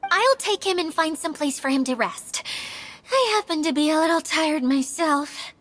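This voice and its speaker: Sweet young anime game girl, chatty, gentle, very high and sparkling bright. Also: expressive, normal speed, faint music behind.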